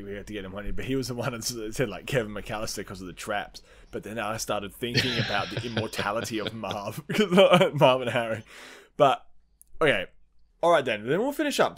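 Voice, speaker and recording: A man hosting a film-fan podcast, chatting casually with plenty of swearing in a rambling, enthusiastic, slangy way. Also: mid-range voice. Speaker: podcast host.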